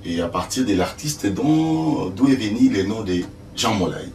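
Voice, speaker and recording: An older man from Congo, an actor and stage director answering interview questions slowly with many fillers. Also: lowish voice, expressive, darkish tone. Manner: slow, hesitant, many fillers. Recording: echoey room, distant mic, faint noise.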